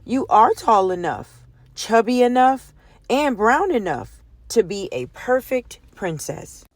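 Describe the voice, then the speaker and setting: Woman reading as a lively, reassuring storybook mom. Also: a little high, takes it slowly, no room sound, exaggerated voice. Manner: encouraging, emphatic. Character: loving, reassuring mother of a young girl.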